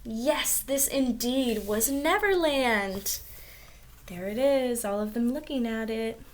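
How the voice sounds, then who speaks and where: A woman's voice: on the high side, takes it slowly. A woman reading a storybook aloud to young children, expressively, with little asides about the pictures. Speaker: storybook reader.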